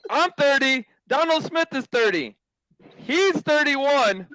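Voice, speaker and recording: A man's voice: very high voice, expressive, slow, bright, forceful tone, poor audio. A man in his thirties, chatting bluntly and impatiently as a co-commentator. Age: 30s. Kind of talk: casual chat.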